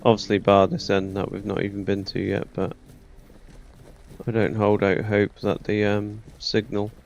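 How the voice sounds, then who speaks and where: Male let's player: casual, self-directed muttering, slightly deep voice with plenty of fry, light game ambience underneath. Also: monotone, normal speed, highs cut off, slight background noise. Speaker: gamer commentating on a playthrough. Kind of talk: casual let's play commentary.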